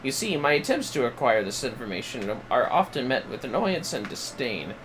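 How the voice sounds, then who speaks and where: A man's voice: mid-range voice, big pitch swings, normal speed, noisy background, lightly acted. A man voicing a pompous, irritable scholar from a fantasy game, reading the dialogue in a formal, wordy, haughty way. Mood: verbose, frustrated.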